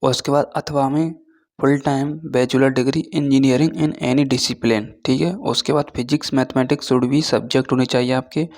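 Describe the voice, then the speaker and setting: A man giving an informal explainer lesson as an online teacher, reading through points haltingly and often checking in with short tag words. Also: mid-range voice, steady tone, a little mellow. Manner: switches between Hindi and halting read-out English.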